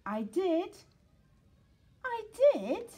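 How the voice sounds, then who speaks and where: A woman voicing an earnest, excitable little boy puppy in a storybook, with an insistent manner. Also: very high voice, drawn-out words, voice pushed up, full character voice. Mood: insistent, excited.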